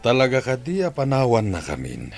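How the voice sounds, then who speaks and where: An actor playing a grave, gravelly-voiced master of the house in radio drama style. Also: male voice, mid-range voice, huge pitch swings, brisk. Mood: grave, questioning. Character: grave male head of the household. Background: clear dramatic music.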